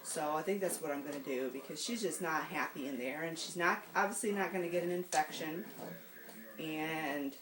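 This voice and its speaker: A woman vlogging casually at home with a raspy, hoarse voice from allergies, chatty and rambling with plenty of ums. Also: deep voice, normal speed, roomy sound, recorded from a distance, a crowd in the background, rough recording. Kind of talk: casual rambling vlog chat. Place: home and outdoor animal pens. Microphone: handheld camera, self-filmed.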